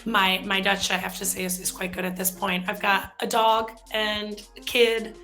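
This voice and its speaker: A woman's voice: mid-range voice, flat delivery, normal speed, sharp tone, faint music behind. An American woman in her thirties, chatting casually as a guest on a work video show, with lots of fillers and self-deprecating asides. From United States. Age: 30s.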